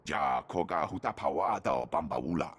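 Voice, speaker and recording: A man voicing a rough-voiced alien speaking an invented language in a video game. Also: very deep voice, animated, moderate pace, a bit bright, exaggerated voice. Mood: matter-of-fact. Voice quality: husky, rough.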